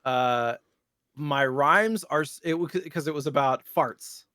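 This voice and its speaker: A man's voice: a little high, slow pace, sharp tone, clear, resonant tone, no room sound, clean studio sound. A man co-hosting a podcast in casual chat, telling rambling, joking stories. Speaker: podcast co-host and streamer.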